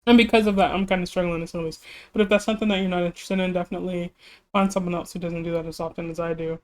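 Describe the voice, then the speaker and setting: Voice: medium pitch, fairly even intonation, racing. Chatty reaction YouTuber with a strained voice. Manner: rambling, chatty, laughs often; self-deprecating, apologetic. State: slightly losing voice, cracking. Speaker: music reaction YouTuber and streamer. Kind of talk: casual reaction video chat.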